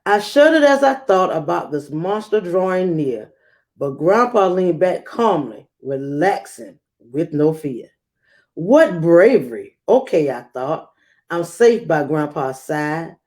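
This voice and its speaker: A woman reading a rhyming children's picture book aloud, acting it out with theatrical emphasis and dramatic pauses. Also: lowish voice, a little mellow. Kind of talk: expressive rhyming storybook read-aloud.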